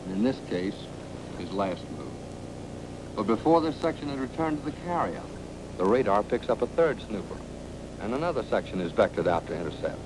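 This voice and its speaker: A man's voice: fairly low pitch, sing-song, fairly quick, background noise. An American man narrating a 1940s military training film in a punchy, colloquial, dramatic storytelling manner. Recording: 1940s film soundtrack with music.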